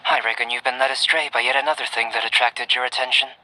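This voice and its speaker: A man voicing a calm, scholarly young alchemist in a video game, measured, reserved and articulate. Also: mid-range voice, a little hiss or hum, poor audio. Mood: calm, concerned.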